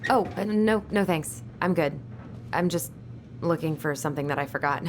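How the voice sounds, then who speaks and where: A woman's voice: sits low, moderate pace, a little hiss or hum, lightly acted. An actress playing a polite but stubborn young woman in a video game, speaking casually. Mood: awkward, polite. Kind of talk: naturalistic video game acting.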